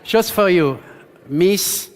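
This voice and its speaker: A man's voice: sits high, animated, drawn-out words. Playful older French man on stage. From France. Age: 60s. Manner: coaxing, playful, broken English. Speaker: ventriloquist contestant. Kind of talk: stage act and chat. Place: talent show stage. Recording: loud crowd and music on stage. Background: audience and music.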